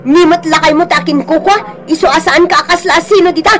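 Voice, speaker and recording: A woman's voice: very high voice, steady tone, quick pace, over music. A woman playing a feisty, defiant grocery saleswoman, brash and taunting with an Ilocano accent in a radio drama.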